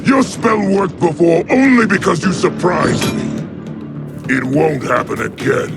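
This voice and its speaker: A defiant, proud male fighter in a fantasy game. His gravelly voice leaps around in pitch. Loud music plays behind him. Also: big pitch swings, a little slow, full character voice. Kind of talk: cinematic video game acting.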